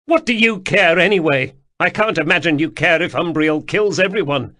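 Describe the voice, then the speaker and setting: A man voicing a high, strained young nobleman. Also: big pitch swings, dry recording, clean studio sound. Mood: shouting, tearful. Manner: pleading, quick to break into shouting. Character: desperate young noble man, anxious and proud.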